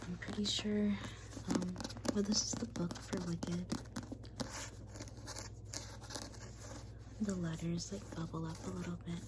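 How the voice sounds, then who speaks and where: A woman playing a menacing spider demon in an ASMR roleplay, whispering breathily in a rambling, deadpan, casually cruel way close to the mic. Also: lowish voice, close to an everyday voice. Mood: rambling, indifferent. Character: menacing female spider demon toying with her human prey. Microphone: close ASMR microphone. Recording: hissy close recording with clicks.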